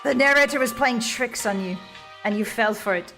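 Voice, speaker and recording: A woman's voice: on the high side, moderate pace, sharp tone, faint music behind, poor audio. A woman narrating a comic visual novel in a wry, arch, mock-grand storyteller's manner.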